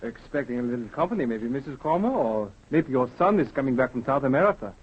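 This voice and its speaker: An actor playing a kindly, nosy small-town grocer in a 1930s radio drama, talkative, folksy and hesitant. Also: male voice, medium pitch, expressive, fast, slight background noise, close to an everyday voice. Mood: fussy, obliging. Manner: hesitant, folksy, repeats himself. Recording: dull, muffled 1930s radio recording.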